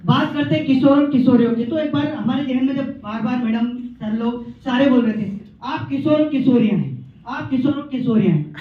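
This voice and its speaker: A man's voice: very high voice, lively intonation, fairly quick. A man giving a playful, mock-lecturing humorous speech to colleagues, repeating phrases for comic effect. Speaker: training participant performing a humorous recap. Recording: distant, echoing hall recording, slightly muffled.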